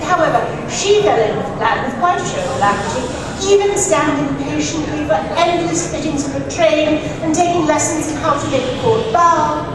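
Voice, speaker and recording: Woman acting out a proper society lady, fussy, prim, high voice, distant mic, echoey hall, audience behind. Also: normal speed, hardly any pauses, over a noisy crowd, lightly acted. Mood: anxious. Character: fussy aristocratic English lady chaperone, middle-aged. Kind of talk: live old-time radio play performance.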